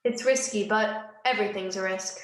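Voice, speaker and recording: A woman's voice: medium pitch, moderate pace, natural voice. A woman playing a cautious mother and sister in a wartime stage drama. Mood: wary. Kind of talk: theatrical dialogue. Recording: echoey room.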